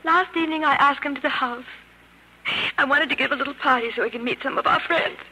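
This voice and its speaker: An ardent young granddaughter in a 1950s radio drama, her voice extremely high and bright. She speaks earnestly and breathlessly, on a slightly noisy old broadcast. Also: fast talker. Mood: distressed. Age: young adult.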